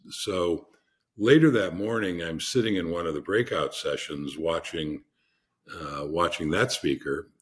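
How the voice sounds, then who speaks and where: Deep husky-voiced man telling stories. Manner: rambling anecdotal storyteller with frequent restarts. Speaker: podcast guest. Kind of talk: casual interview storytelling.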